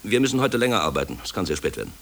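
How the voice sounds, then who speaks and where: An actor playing a courteous, guarded company director in a German radio play, polished and formal in manner. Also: male voice, sits low, very animated, racing, vocal fry, a bit bright, exaggerated voice. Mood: brisk, commanding. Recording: hissy vintage radio drama recording.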